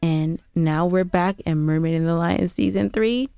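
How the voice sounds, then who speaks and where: A woman's voice: on the low side, a little slow, gravelly, mellow, smooth, faint music behind. An American woman co-hosting a podcast, chatting casually and sharing show updates on a close microphone. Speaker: podcast co-host.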